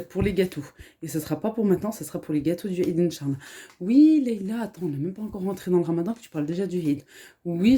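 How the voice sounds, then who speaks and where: Woman, 30s, from France, slight Arabic-influenced accent; mellow, lowish voice, hushed and chatty haul vlogger at home. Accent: French with a slight Arabic-influenced lilt. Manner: speaks softly, hushed so as not to wake children; chatty, many fillers, calm and earnest. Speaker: family vlogger. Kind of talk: casual haul vlog. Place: home kitchen, indoors.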